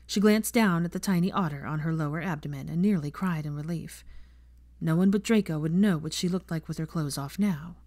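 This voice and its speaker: A woman's voice: low voice, a little mellow, no echo, clean studio sound. A woman narrating a scripted story as an audiobook reader.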